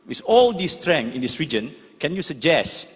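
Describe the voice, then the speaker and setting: A young man with a Malaysian accent putting a question at a public forum in a halting, deferential way. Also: on the high side, very animated. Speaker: audience questioner, member of parliament. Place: large hall, public forum. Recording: husky-edged sound in reverberant large hall.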